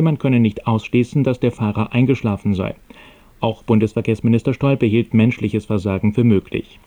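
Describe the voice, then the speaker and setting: A man reading scripted radio news in a formal, measured and neutral manner. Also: on the low side, dark tone.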